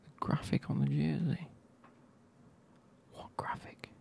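A man, reviewing football kits in a soft, casual whisper with wry asides. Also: a little high, level tone, slow, vocal fry.

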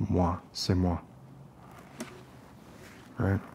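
A man in his thirties doing an ASMR roleplay as an unstable, friendly loner, soft-spoken and rambling in halting mutters. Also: low-pitched, little pitch movement, creaky, slight background noise. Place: basement-like room with concrete walls.

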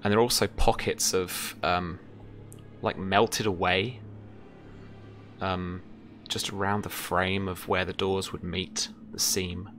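A young man narrating a tabletop role-playing game as game master, pausing to search for his words. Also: deep voice, level tone, a bit bright. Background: background music.

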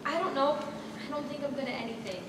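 Young woman acting as unsure schoolgirl in a school play, high voice, noisy echoey recording from far back. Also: animated, fast talker. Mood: insecure. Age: teens. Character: insecure, self-doubting teenage schoolgirl singer. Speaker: high school student actor. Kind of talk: amateur stage play acting. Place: theater stage in large auditorium. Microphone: far camera in audience. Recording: echoey auditorium, distant camera, noisy room.

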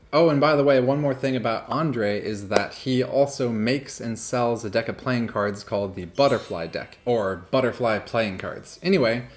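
Guy in his 30s casually chatting to viewers, middle pitch, close mic. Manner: chatty, easygoing asides to viewers. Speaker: magician reviewing a TV act. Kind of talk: casual reaction commentary.

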